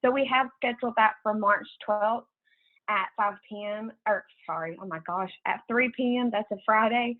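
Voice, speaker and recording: An American woman giving informal announcements as a program coordinator on a conference call, in a casual, halting way over a tinny phone line. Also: mid-range voice. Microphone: telephone conference call.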